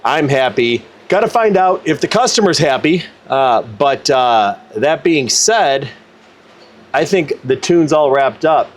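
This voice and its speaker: Man, medium pitch, car video host, casual explanatory delivery, matter-of-fact, conversational. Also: expressive, unhurried, a little hiss or hum.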